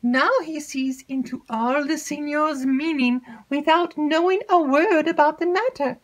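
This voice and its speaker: A woman voicing an excitable, easily frightened young maid who rambles and pleads in a breathless, tearful way. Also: high voice, unhurried, voice pushed up. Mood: chattering.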